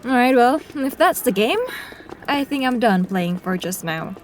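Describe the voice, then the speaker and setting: An actress playing a wry, wary young adventurer in an audio fantasy drama, with a hesitant, questioning manner. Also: female voice, a little high, expressive, a little slow.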